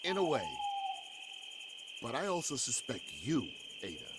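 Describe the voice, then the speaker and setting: A man's voice: mid-range voice, sing-song, a little slow. An actor playing a suspicious, accusing man in 1940s radio horror style, firing pointed, skeptical questions. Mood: wary, stern. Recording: loud background noise, insect-like ambience.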